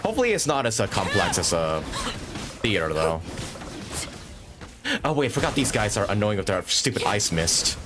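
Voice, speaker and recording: A man doing casual, informal live gameplay commentary, thinking out loud as a streamer. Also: fairly low pitch, sing-song, moderate pace, pauses often. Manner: informal, swearing, thinking aloud. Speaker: game livestreamer. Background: video game music and sound.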